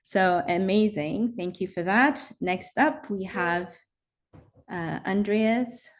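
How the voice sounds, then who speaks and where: A woman moderating a panel, reading out a speaker's introduction with filler pauses between phrases. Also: medium pitch. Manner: reads a bio with filler pauses.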